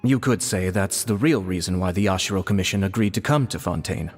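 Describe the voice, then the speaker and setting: Low-voiced, composed nobleman. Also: big-room sound. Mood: courteous, sincere. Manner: formal, measured, polite. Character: composed, courteous, diplomatic young nobleman. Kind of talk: video game voice acting. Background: music.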